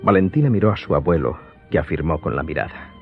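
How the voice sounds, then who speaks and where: A man's voice: rumbling low, lively intonation, fast talker. A man reading scripted narration for an audio drama. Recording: slightly dull sound, music behind.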